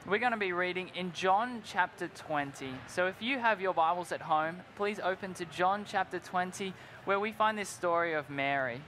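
A man's voice: high voice, normal speed, hardly any pauses, bright, forceful tone, slight background noise. A young man co-hosting a live youth Bible discussion on stage, earnest and upbeat, tossing in "like" and "right?". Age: young adult. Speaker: co-host of a youth Bible panel. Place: large indoor convention hall stage with crowd. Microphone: headset mic.

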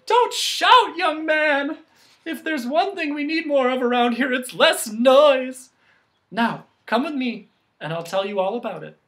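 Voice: high-pitched, expressive, measured pace, a bit bright, voice pushed up. A storyteller voicing a stern, bossy woman who keeps sounds, speaking in a commanding, scolding way.

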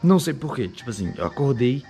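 A hoarse young man chatting casually to viewers in a vlog, filmed on a handheld action camera on an outdoor patio and in a kitchen. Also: medium pitch, big pitch swings, quick pace, warm tone, faint music behind. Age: young adult. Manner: chatty, addresses viewers directly. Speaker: vlogger. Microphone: handheld action camera at arm's length.

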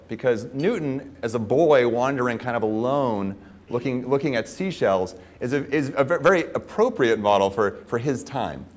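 A man in his forties opening a conference panel as moderator, speaking in a measured, articulate way on a lapel mic. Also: lowish voice. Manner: measured, articulate, with frequent "uh" fillers. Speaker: moderator, professor. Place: conference stage.

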